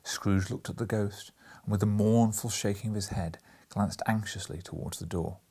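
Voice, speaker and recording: A man reading a classic story aloud as an audiobook narrator, expressive and theatrical with breathy emphasis. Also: on the low side, normal speed.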